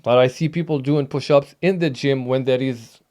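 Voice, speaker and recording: Tunisian-born male trainer, mellow tone, emphatic, halting chat. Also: medium pitch. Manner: emphatic, hesitant with many fillers. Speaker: fitness trainer. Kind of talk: casual interview talk.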